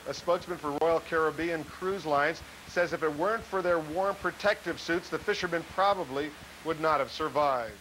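Bright higher-voiced male news anchor. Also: moderate pace, slight background noise, rough recording. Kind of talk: scripted news read.

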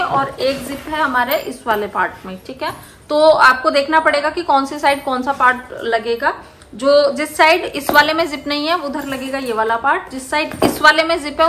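Chatty woman demoing gear, high voice. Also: noisy background. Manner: chatty, explaining step by step. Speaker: vlogger reviewing a car bed. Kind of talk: casual product demo vlog. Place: inside a car.

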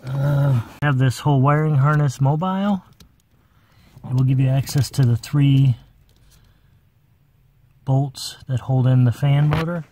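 A man's voice: medium pitch, dark tone, slight background noise. A man giving a casual step-by-step car repair demonstration, talking plainly while he works under a dashboard. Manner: plain, unhurried, talks while working with tools. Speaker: car repair how-to presenter.

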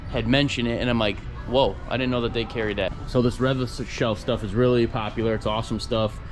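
A man's voice: on the low side, darkish tone. A man in his thirties walking the aisles of a big hardware store, chatting casually and enthusiastically to a handheld camera as he points out products. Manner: chatty, enthusiastic, loose with fillers and restarts. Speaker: handyman vlogger. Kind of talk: casual walk-through product vlog. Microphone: handheld camera at arm's length.

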